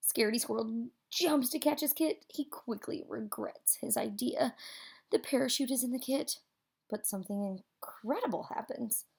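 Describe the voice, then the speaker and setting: A woman reads a children's picture book aloud in an expressive, theatrical way, with dramatic pauses and emphasis. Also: fairly high pitch, slightly rough.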